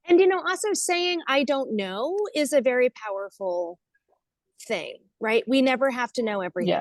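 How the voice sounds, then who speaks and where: A woman's voice: fairly high pitch, lively intonation, moderate pace, no room sound. An American woman speaking informally as a webinar guest, thoughtful, hesitant and self-effacing as she reflects. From United States. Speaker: guest speaker, nonprofit leader. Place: online video call.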